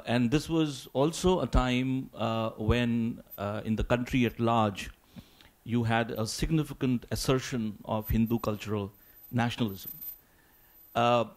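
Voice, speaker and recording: A man speaking as a symposium panelist, answering in a halting, deliberate academic way and often repeating words. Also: medium pitch.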